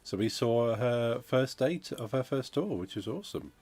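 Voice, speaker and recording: A man, croaky from a cold, chatting casually on a game dev stream and reading out chat. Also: mid-range voice, lively intonation, moderate pace, a little mellow. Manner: rambling, chatty, reads out chat messages. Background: funky music playing.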